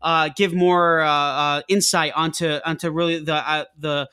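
A man with a notably high voice hosts a business interview show. His questions come out halting, with many restarts and filler words. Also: level tone, bright, forceful tone, clear, resonant tone. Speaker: podcast host. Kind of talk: podcast interview.

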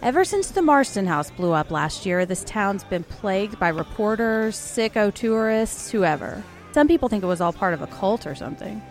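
A woman's voice: mid-range voice, expressive, moderate pace, few pauses, faint music behind, natural voice. A young woman playing a sardonic, chatty bartender in her twenties, with a wry, casual delivery in a scripted audio drama.

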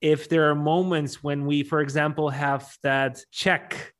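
A man with a Polish accent speaks in a slightly high voice. He explains his points in a conversational interview style, often doubling back on words as he goes. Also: level tone, a little slow, fairly bright tone. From Poland. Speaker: event MC, interview guest.

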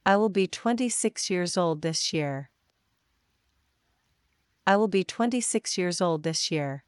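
Woman teacher, smooth low voice, very slow practice reading, dry close sound. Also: expressive, no echo. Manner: slow, deliberate, each sentence said twice. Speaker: English teacher. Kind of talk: reading example sentences for learners.

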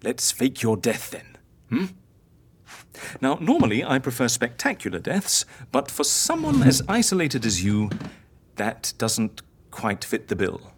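A woman's voice: very deep voice, huge pitch swings, unhurried, a little hiss or hum, heavily acted. An actress playing a confident, theatrical woman who fakes deaths for clients, lecturing and coaxing in an audio drama. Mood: measured, scheming. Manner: didactic, encouraging, philosophical.

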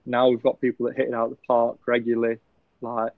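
A man's voice: mid-range voice, completely flat delivery, fast, no echo. A young man, a professional cricketer, chatting casually and laughing often in a podcast interview. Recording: dull, lo-fi, dry sound.

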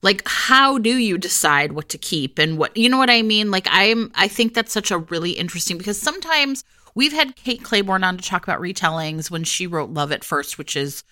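A woman's voice: medium pitch, lively intonation, fairly quick, sharp tone. A woman co-hosting a podcast in casual chat, jumping in with emphatic remarks. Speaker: podcast co-host.

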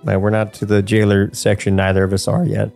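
A man, probably in his thirties, hosting a casual podcast chat in a jokey, playful, animated manner. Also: fairly low pitch, brisk, vocal fry, faint music behind. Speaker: podcast host. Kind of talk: casual podcast chat about game lore.

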